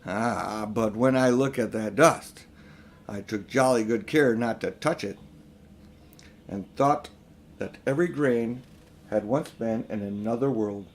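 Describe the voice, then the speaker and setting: A man's voice: lowish voice, unhurried, slight background noise. A man voicing a pompous, vain, selfish elderly magician uncle in a storybook reading, with a grandiose, self-important manner. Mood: boastful. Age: older. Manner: grandiose, self-important, indignant when challenged.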